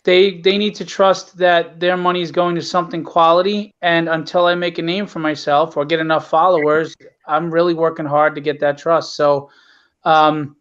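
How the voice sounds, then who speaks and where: A man's voice: fairly high pitch, a bit bright, clear, resonant tone, lo-fi sound. A middle-aged man talking casually and bluntly as an interview guest, earnest and emphatic about his work as an indie publisher. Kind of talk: casual conversational talk.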